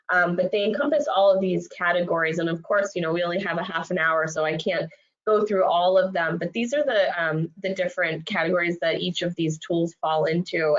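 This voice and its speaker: Congested woman leading a software webinar. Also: fairly low pitch. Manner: friendly, lots of fillers. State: congested from a cold. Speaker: trainer. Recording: dull lo-fi web audio.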